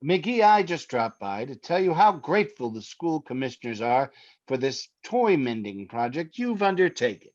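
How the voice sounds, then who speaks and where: A man's voice: mid-range voice, sing-song, moderate pace, lightly acted. Dull-sounding recording; polite male small-town mayor for radio comedy played by an actor, earnest, formal, widely varied intonation. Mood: courteous. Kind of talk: old-time radio comedy acting.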